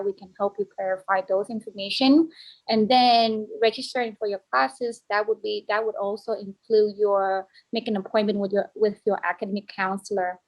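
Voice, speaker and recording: A woman's voice: mid-range voice, rough recording. A woman working as a student services specialist explains enrollment steps to new students over a video call. She often stops and restarts as she explains. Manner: halting explanations with frequent restarts. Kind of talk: online information session presentation.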